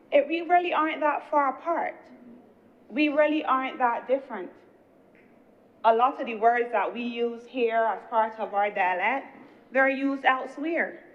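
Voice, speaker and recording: A woman senator with a Barbadian accent, giving an earnest, measured speech in the chamber. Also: on the high side. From Guyana, raised in Barbados. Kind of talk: parliamentary speech. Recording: dull lo-fi chamber broadcast.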